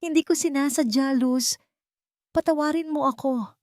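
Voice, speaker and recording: A woman's voice: high-pitched, normal speed, darkish tone, no room sound, clean studio sound, voice pushed up, natural voice. A woman voicing a guilty cheating husband in an audiobook drama, weak, desperate and begging in a broken, tearful manner. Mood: pleading. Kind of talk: dramatic audiobook character acting.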